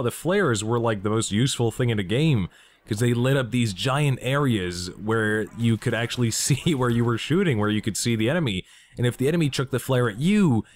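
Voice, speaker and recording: A young man from Belgium, talking enthusiastically and jokingly in a casual gaming vlog. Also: medium pitch. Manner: enthusiastic, joking, asks himself questions. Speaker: gaming YouTuber.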